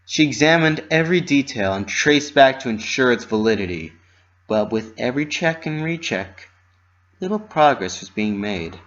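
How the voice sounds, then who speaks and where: Casting: dramatic story narrator, expressive and theatrical, lo-fi home-recorded sound. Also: medium pitch, moderate pace. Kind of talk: dramatic reading of fan fiction.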